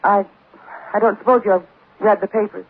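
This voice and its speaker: A woman's voice: mid-range voice, fairly even intonation, brisk, lightly acted. An actress playing a guarded, uneasy businesswoman, polite and hesitant, in 1940s radio drama style. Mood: subdued, uneasy. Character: uneasy, guarded businesswoman hiding her nerves. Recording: tinny, faintly noisy 1940s radio recording.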